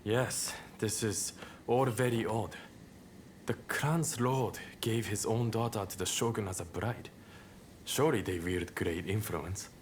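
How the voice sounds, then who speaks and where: Guy voicing a calm doubtful samurai in a game cutscene, lowish voice, bit of background noise. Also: unhurried, lightly acted. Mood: wary, reasoning. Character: level-headed, skeptical male samurai rebel. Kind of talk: video game cutscene acting.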